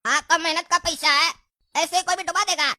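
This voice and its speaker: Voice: extremely high pitch, steady tone, moderate pace, heavy background noise. A performer voicing a meddling pumpkin boy in a Hindi cartoon, pert and argumentative. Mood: indignant. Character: meddling, stirring pumpkin kid, a son.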